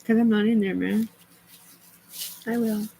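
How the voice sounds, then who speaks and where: A woman's voice: medium pitch, steady tone, measured pace, gravelly, warm tone, slight background noise, rough recording. A woman livestreaming, rambling as she reads aloud and makes asides to herself and her viewers. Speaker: live streamer.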